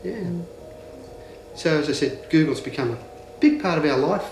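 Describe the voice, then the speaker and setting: Man householder, relaxed and chatty, roomy sound. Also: fairly high pitch, normal speed, soft background music. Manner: easygoing, chatty. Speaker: householder interviewee. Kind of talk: casual interview answers. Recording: echoey room.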